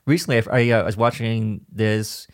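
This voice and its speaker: A man from Vietnam hosting a podcast, asking questions in a halting way and often repeating words. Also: on the low side, fairly even intonation, dry recording, studio quality. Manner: stammering, repeats words while thinking. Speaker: podcast host. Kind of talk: conversational interview.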